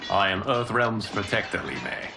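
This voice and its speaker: A deep-voiced, noble warrior protector. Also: male voice, lively intonation. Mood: calm, assured. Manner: measured, assured. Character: calm, noble male warrior protector of a realm. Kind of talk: dramatic video game cutscene acting. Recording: loud game music behind.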